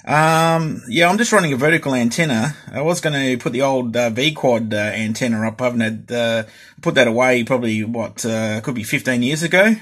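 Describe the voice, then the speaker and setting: Middle-aged Australian male hobby host, husky tone, hesitant and repetitive, casual delivery. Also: mid-range voice, a little fast, hardly any pauses, a bit bright. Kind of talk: casual hobby vlog talk.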